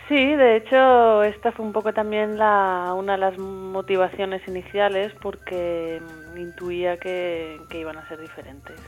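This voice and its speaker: A woman researcher speaking as a phone guest on a radio show, answering in a measured way. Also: mid-range voice, level tone. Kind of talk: phone interview. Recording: phone call, faint music.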